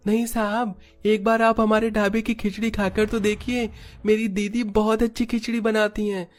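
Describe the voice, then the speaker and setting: Man voicing an eager, high-pitched pleading boy. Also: little pitch movement, moderate pace, soft background music, natural voice. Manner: pleading, insistent. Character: eager pleading young boy helping at a food stall. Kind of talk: cartoon story acting.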